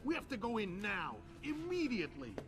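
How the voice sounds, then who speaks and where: High-pitched, blunt military hawk. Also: male voice, wildly swooping pitch, normal speed. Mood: angry, urgent. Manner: forceful, blunt. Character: hawkish, impatient male American military official demanding action. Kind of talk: video game cutscene acting.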